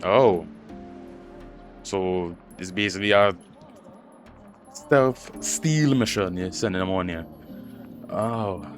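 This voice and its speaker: A man's voice: low voice, slow pace, pauses often. A man giving casual gaming commentary, musing aloud in slangy speech as he reacts. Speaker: gaming streamer. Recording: faint game music behind. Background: game music.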